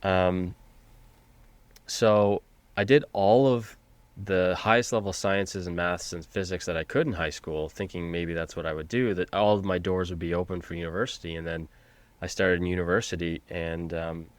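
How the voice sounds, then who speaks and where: A man's voice: deep voice, steady tone, dry recording. A man from Canada, a teacher and podcast guest, talking casually with frequent restarts over a video call. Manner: halting, with many restarts. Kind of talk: casual conversation.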